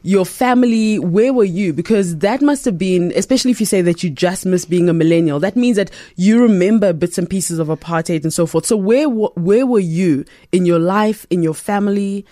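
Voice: mid-range voice, moderate pace, few pauses, dark tone, no echo, clean studio sound. An interview host who asks questions eagerly and affirms the guest with enthusiasm. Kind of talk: conversational interview.

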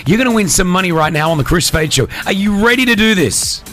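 A man hosting a morning radio show, energetic and upbeat as he runs a cash quiz. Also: sits high, quick pace, music in the background. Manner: energetic, hypes up prizes. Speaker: radio host. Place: radio studio. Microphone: studio mic.

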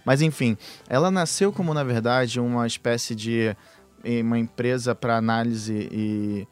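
A man's voice: mid-range voice, unhurried, smooth, faint music behind. A man co-hosting a casual technology podcast, explaining at length with frequent fillers and restarts. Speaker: podcast co-host. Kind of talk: casual tech podcast chat.

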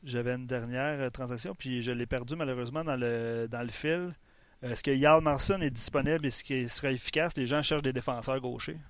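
A man's voice: mid-range voice, a little fast, no echo. A man hosting a hockey show, reading listener trade ideas with many fillers. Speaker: radio show host.

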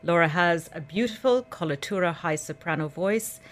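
A female concert pianist and artistic director speaking warmly and enthusiastically in a radio interview, full of polished praise. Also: fairly low pitch, expressive, a little fast, faint music behind. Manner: enthusiastic, polished, superlative-rich. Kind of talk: promotional interview talk.

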